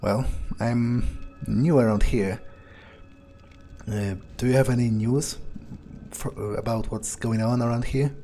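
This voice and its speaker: A man's voice: mid-range voice, fairly even intonation, takes it slowly, dark tone, faint music behind. A man role-playing a cocky, foul-mouthed fledgling vampire in improvised video game commentary, muttering hesitantly and swearing casually. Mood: guarded, curious. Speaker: game streamer role-playing his character. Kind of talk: in-character improvised gameplay commentary.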